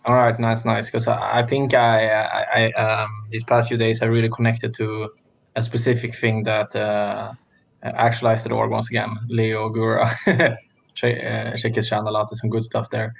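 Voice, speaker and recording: Gravelly young Swedish-accented man. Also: fairly low pitch, monotone, moderate pace, highs cut off. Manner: laughs often, hesitant with fillers. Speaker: podcast co-host. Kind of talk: casual chat.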